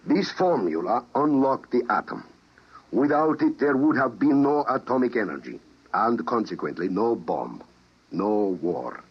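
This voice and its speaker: A man acting a grave older council president and former scientist, formal and deliberate, in a 1950s radio drama. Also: medium pitch, very animated, slightly rough. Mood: persuasive, grave. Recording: muffled old radio recording.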